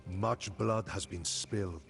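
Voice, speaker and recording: A man's voice: sits low, steady tone, vocal fry, fairly bright tone, full character voice. A man playing a grave, vengeful khan who solemnly narrates the story of his people in a video game. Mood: reflective. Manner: solemn, ominous, deliberate. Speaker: cutscene narrator. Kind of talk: dramatic scripted storytelling narration. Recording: music behind.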